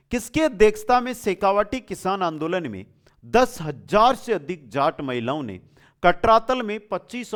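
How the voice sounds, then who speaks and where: A man teaching an exam-prep quiz lesson, reading out questions and explaining answers in a familiar, affectionate way with repeated key points. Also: fairly high pitch.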